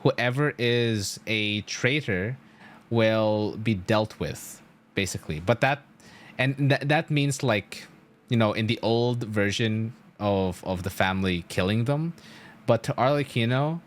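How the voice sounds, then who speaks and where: A man's voice: fairly low pitch, slow pace. A young man streaming a game, explaining its story in a casual, rambling way with emphatic storytelling and loose swearing.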